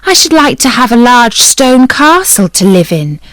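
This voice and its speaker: A woman's voice: a little high, big pitch swings, moderate pace, a bit bright, no echo, voice pushed up. A woman voicing a greedy, demanding fisherman's wife in a storybook reading. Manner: demanding and scolding.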